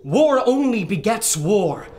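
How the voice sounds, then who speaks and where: An actor playing an earnest, learned doctor who makes peace, speaking dramatically in an audio adventure. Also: male voice, high voice, very animated, slow, a loud crowd, full character voice. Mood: earnest, persuading. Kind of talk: radio adventure drama acting.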